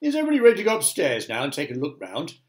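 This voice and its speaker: A man's voice: on the high side, wildly swooping pitch, rapid-fire, pitched above the performer's own voice. A man voicing a cheerful, hopeful old male green grasshopper in a storybook, encouraging and emphatic.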